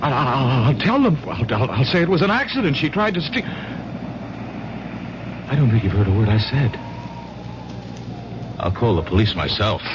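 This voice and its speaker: A mid-pitched man , played by an actor, in an old radio thriller, alarmed and insistent. His voice leaps about as he stammers urgently. The recording is dull, with obvious background noise. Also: very animated, normal speed, long pauses, close to an everyday voice. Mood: panicked. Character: alarmed male acquaintance, panicky and urgent. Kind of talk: radio drama acting.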